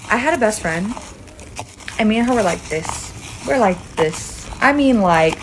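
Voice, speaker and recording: A woman's voice: medium pitch, slight background noise, rough recording. A woman in her twenties with an American accent, telling a personal story casually and emphatically. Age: 20s. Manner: dramatic, emphatic, peppered with "like" and swearing. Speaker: storytime video creator.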